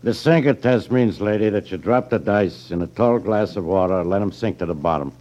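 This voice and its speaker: Man acting tough American henchman, lowish voice, slangy, ungrammatical, dim, loyal, 1950s radio drama, dull old recording. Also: fairly quick, dark tone, lightly acted. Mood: matter-of-fact. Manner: slangy, ungrammatical hoodlum talk. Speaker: radio actor.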